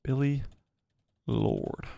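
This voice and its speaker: Man, hoarse, casual stream host, rambling, repeats himself, mid pitch, dry close sound. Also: wildly swooping pitch, very slow, mellow, dry recording. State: losing his voice, coughing. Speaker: livestream host. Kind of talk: casual live-stream chat reading viewer comments.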